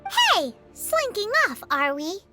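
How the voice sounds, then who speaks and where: Super high squeaky game fairy, cheeky n excitable, music playing behind. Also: female voice, takes it slowly, full character voice. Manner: childlike, blunt, excitable. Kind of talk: English game dub voice acting.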